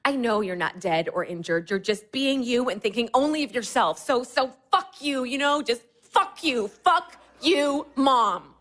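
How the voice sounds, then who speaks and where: High-voiced, anxious young wife, blunt and foul-mouthed, radio play. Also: female voice, animated, measured pace, few pauses, fairly bright tone. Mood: angry, bitter. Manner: blunt, swears often. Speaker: newly married wife. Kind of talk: audio drama acting.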